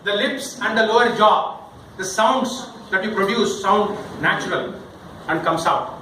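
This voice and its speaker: A man with an Indian accent giving an interactive public speaking training talk, jokey and animated, often checking with his listeners. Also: high-pitched, measured pace. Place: indoor room in front of a live group. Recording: very echoey room, distant mic, noisy.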